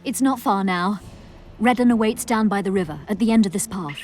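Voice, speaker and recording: A woman's voice: mid-range voice, a little fast, darkish tone, natural voice. A woman playing a guarded, shaken survivor in a fantasy video game, speaking earnestly and somewhat formally. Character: guarded, shaken female survivor and protector of royal children. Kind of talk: dramatic video game acting. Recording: music behind the voice.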